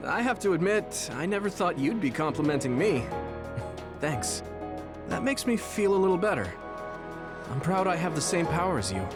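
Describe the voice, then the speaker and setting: An actor playing an earnest, trusting young soldier in an English game dub, speaking with soft sincerity. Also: male voice, sits high, big pitch swings, moderate pace, loud music behind the voice. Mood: reassured, modest.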